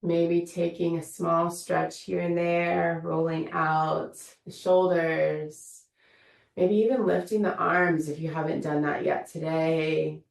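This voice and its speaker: A woman teacher leading a guided meditation in a slow, soothing, invitational way. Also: fairly low pitch, level tone, gravelly, reverberant, far from the mic. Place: room of an online yoga studio.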